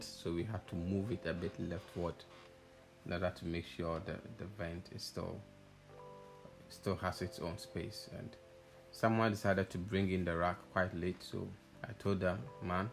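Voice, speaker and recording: A man's voice: low-pitched, level tone, unhurried, vocal fry. A man giving a casual, hesitant voice-over walkthrough as an audio installer, thinking aloud as he explains. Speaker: audio installer narrating own project video. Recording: music playing behind the voice. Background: background music.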